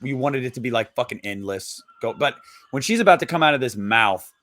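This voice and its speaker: Dude on a podcast just rambling, swearing, lotta "like" and "you know". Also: male voice, mid-range voice, expressive, brisk, fairly bright tone. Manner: rambling, swears casually, lots of fillers. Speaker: podcast co-host. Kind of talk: casual chat.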